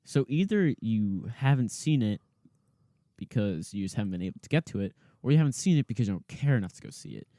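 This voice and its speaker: A man's voice: fairly low pitch, big pitch swings, quick pace, dark tone, no room sound. A young man joking around as a guest co-host, goofy and easily distracted, in a small home studio. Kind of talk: casual chat.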